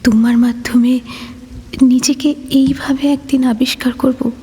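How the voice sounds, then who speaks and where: A woman playing a lonely forty-year-old reading out her tender letter, hesitant, intimate and breathy, in an audio drama. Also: high voice, level tone, measured pace, dark tone, long reverb tail, full character voice. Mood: tender, wistful. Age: 40s. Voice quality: breathy, half-whispered. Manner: hesitant, intimate, repeating phrases.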